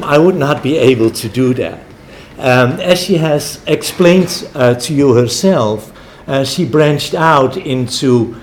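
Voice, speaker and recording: A man's voice: mid-range voice, darkish tone, slight background noise. A Dutch-born man who speaks American English, giving a hesitant vote of thanks with many ums and uhs. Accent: American English, Dutch first language. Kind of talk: informal spoken thanks.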